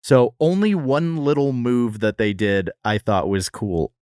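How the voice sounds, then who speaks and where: A man's voice: mid-range voice, measured pace, darkish tone, smooth, no echo. Male podcast co-host for comic banter; jokey exaggerating storyteller, close dry recording. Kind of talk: casual comedic podcast banter.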